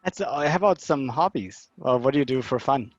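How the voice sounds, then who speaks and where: A man's voice: mid-range voice, expressive, a little fast, no echo. Man interviewing, dry dull audio. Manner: friendly, joking, hesitant starts. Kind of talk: casual video-call interview.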